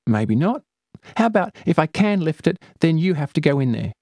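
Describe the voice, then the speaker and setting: A man's voice: fairly high pitch, sing-song, moderate pace, darkish tone, no room sound, studio quality, voice pushed up. A man voicing a timid young girl who stammers and apologizes in a rush. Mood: eager. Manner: stammering, eager and breathless.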